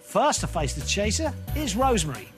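A man with a notably high voice hosts a quiz show from a TV studio, brisk and playfully teasing as he runs the game. Game show music plays behind him. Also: wildly swooping pitch. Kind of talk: upbeat scripted game show presenting. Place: television studio.

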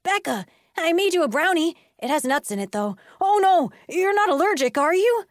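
A woman voicing frantic, clamoring schoolchildren in a children's audiobook, with shrill, overdramatic urgency. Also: lively intonation, measured pace, no echo, studio quality. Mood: eager, fussing. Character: frantic, clamoring schoolkids fussing over a popular girl.

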